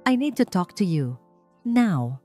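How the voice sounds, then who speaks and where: A woman's voice: mid-range voice, big pitch swings, slow, mellow, faint music behind. A woman voicing a cold, controlled, authoritative wealthy father in an audiobook story. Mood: commanding.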